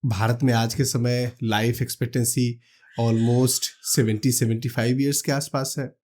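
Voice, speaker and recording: A man from India calmly explaining retirement money planning step by step, talking the listener through numbers and examples. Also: medium pitch. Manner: calm, practical, walks through numbers step by step. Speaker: finance educator. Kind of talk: explanatory talk to camera.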